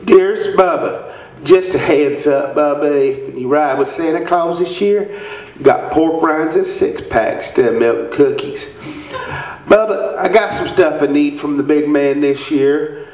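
A man's voice: a little high, measured pace, a little mellow, close to an everyday voice. A man as a folksy redneck, echoey hall. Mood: earnest, matter-of-fact. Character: folksy redneck man reading his wish letter. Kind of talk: live radio-play comedy reading. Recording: echoey, dull theater recording.

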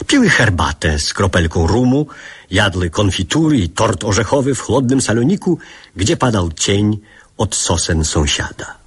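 A man's voice: on the low side, sing-song, moderate pace, slightly rough, full character voice. A man playing a reflective narrator recalling his boyhood in a lyrical, literary radio drama. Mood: nostalgic.

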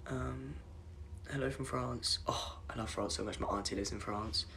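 A young man with a croaky voice chatting casually on a phone livestream from his bedroom at night, reading comments aloud and apologizing often. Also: mid-range voice, steady tone, moderate pace, sharp tone, a little hiss or hum. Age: young adult. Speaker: livestream host. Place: dim bedroom at night, indoors. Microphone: phone camera at arm's length.

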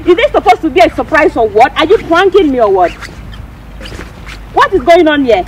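A woman's voice: high-pitched, very animated, a little fast. A young Nigerian woman playing a distraught girlfriend in a street drama, pleading and repeating herself. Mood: confused. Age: young adult. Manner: pleading, repetitive. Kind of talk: improvised prank drama dialogue. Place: outdoors on a street beside a van. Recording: noisy outdoor street phone video.

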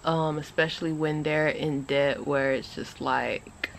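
A woman in her twenties chatting casually to a phone camera on an outdoor porch, animated and rambling. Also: measured pace, creaky, slight background noise. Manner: animated, chatty, rambling with lively pitch jumps. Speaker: vlogger. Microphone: phone camera at arm's length.

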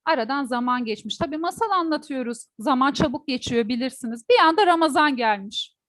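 A woman's voice: high-pitched, lively intonation, fairly quick, fairly bright tone, no echo. A woman storyteller telling a folk tale expressively, putting questions to her listeners. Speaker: teacher.

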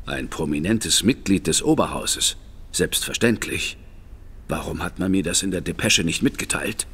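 A man's voice: sits low, expressive, normal speed, a bit bright, husky, exaggerated voice. An actor playing a shrewd, composed male detective in a German audio drama, formal, courteous and inquisitive.

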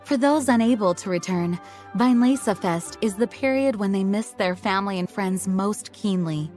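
A woman acts a young female knight commander in an anime game. She explains things with formal composure and earnest duty, in a mid-pitched voice over faint music. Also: normal speed, a little mellow, lightly acted. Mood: earnest, explanatory. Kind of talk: anime video game voice acting.

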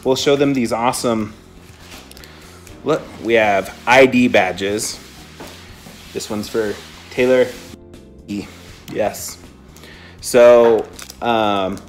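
Jokey man filming himself in a storeroom. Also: mid-range voice. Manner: jokey, chatty, self-mocking. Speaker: print shop coworker. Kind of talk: casual chat to camera. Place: print shop storeroom indoors. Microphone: phone at arm's length.

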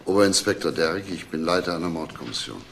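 An actor playing a calm chief police inspector in a crime drama, clipped, formal and matter-of-fact. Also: male voice, low-pitched, fast talker, creaky, exaggerated voice. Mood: sober. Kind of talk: German TV crime drama acting. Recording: noisy soundtrack with clicks and clatter.

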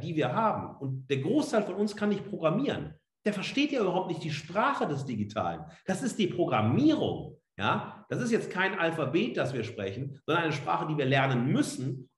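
A middle-aged man giving an academic lecture online as a professor, emphatic, with rhetorical questions and frequent tag words. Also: on the high side. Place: indoor room, on a webcam video feed. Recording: roomy, echoing indoor sound.

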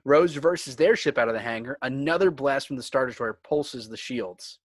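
A man's voice: medium pitch, normal speed, dry recording, clean studio sound. A man reading screenplay stage directions as dramatic scripted narration.

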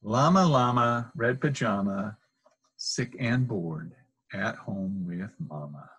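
Middle aged guy reading a kids picture book, kinda husky, slow and sing-songy. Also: lowish voice, expressive, a bit bright. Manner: deliberate, sing-song read-aloud delivery. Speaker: storybook reader. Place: small indoor room.

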